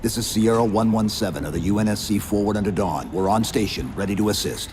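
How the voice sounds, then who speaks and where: An actor as a terse, deep-voiced super-soldier. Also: male voice, fast talker, darkish tone, a little hiss or hum, lightly acted. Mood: steady. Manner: terse, stoic. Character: stoic armored super-soldier, man of few words. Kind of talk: video game cutscene acting.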